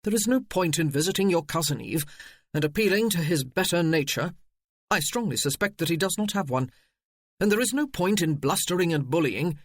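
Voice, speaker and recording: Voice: mid-range voice, lively intonation, brisk, no room sound, studio quality. Casting: narrator as icy, imperious officer. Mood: commanding. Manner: commanding, clipped, cold. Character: cold, haughty army colonel man.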